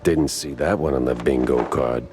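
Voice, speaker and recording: A man voicing a world-weary, wisecracking noir detective mouse in a game, deadpan, with an American accent. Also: a bass voice, normal speed, music in the background. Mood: hard-boiled. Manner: deadpan, dry.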